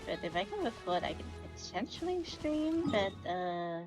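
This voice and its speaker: A woman's voice: mid-range voice, very animated, moderate pace. A young woman streamer chatting casually while playing, rambling and correcting herself as she goes. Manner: rambling, trails off, self-correcting. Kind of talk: casual gameplay commentary. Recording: game music loud behind.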